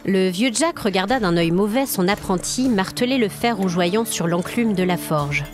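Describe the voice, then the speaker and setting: A woman's voice: medium pitch, lively intonation, normal speed, soft background music. A woman storyteller narrating a scripted audio story in a dramatic, atmospheric manner. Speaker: storyteller, podcast host.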